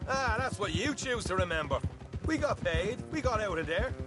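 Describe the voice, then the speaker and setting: An actor playing a brash, boastful young outlaw in a video game, chatty and defensive. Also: male voice, high voice, lively intonation, normal speed, over music. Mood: boastful, earnest. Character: cocky young outlaw man, boastful and eager to prove himself. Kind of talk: video game dialogue acting.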